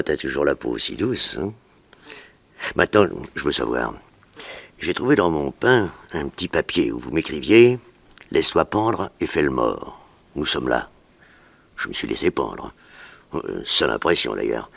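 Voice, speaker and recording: A man's voice: extremely low pitch, sing-song, normal speed, vocal fry, pauses often, full character voice. A French man acting in a 1960s radio drama as a cocky, coarse escaped criminal with a sneering, familiar manner. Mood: pleased, familiar. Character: gloating male convicted criminal, cocky and coarse, freshly escaped.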